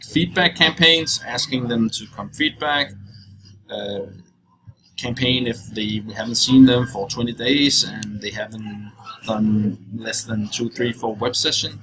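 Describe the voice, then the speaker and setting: A young man giving an informal screencast walkthrough, explaining in a halting, loose way as he goes. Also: medium pitch, a bit bright. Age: young adult. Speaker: software walkthrough presenter. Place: indoors, at a computer. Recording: clearly noisy screencast recording.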